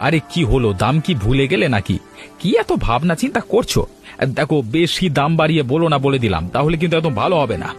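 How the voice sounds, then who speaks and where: Greedy haggling village man in a cartoon, talks fast, pitch swoops around. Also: sing-song, soft background music. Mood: haggling, impatient. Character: wily, greedy village husband, haggling and talkative. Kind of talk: cartoon storytelling voice acting.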